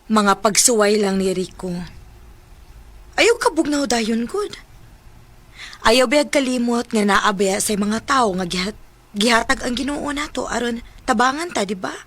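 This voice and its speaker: An actress playing a devout, supportive Filipino wife in a Cebuano radio drama, pleading and reassuring. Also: female voice, a little high, lively intonation, measured pace, long pauses, fairly bright tone. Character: devout, supportive wife consoling her troubled husband.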